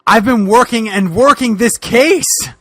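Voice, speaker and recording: A man playing an exasperated, brooding boogeyman detective in a loud, melodramatic dramatic reading. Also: extremely high pitch, background noise, voice pushed up. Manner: loud, drawn-out, melodramatic delivery.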